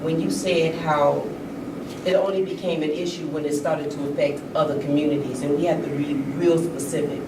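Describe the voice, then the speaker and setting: A woman's voice: low-pitched, steady tone, moderate pace. A woman speaking as an author guest on a discussion panel, earnest and emphatic. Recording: echoey room, distant mic, noisy.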